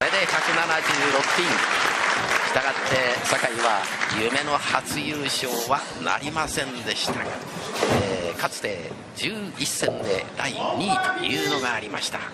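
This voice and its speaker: Excitable male sports announcer over loud crowd. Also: medium pitch, moderate pace. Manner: exclamatory, repeats words at big moments. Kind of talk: live bowling commentary. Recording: lo-fi broadcast, loud noise and crowd. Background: bowling arena crowd noise.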